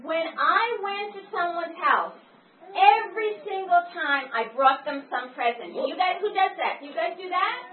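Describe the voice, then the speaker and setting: A woman's voice: very high-pitched, lively intonation, unhurried, a little hiss or hum. A woman teaching a class of children, interpreting a guest's words into English and coaxing the kids to answer in call-and-response. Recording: echoey room, far-off lo-fi phone-like sound with chatter.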